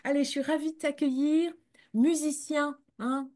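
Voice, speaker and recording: Woman interviewer, somewhat high voice, friendly, chatty, lots of fillers. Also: steady tone, normal speed, a little mellow. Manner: friendly, chatty, many fillers like euh and hein. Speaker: host. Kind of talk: casual interview hosting.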